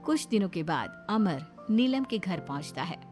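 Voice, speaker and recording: A woman's voice: on the low side, sing-song, a little slow, over music. A woman reading scripted narration for a cartoon story.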